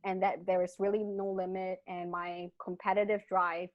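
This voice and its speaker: A woman's voice: fairly low pitch, normal speed, dry recording. A woman from Malaysia whose accent mixes British and Malaysian sounds. She talks animatedly, often restarting her sentences. The sound is dull and lo-fi, and dry. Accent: mixed British and Malaysian. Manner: animated, frequent restarts, laughs between thoughts. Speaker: guest. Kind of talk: casual interview talk.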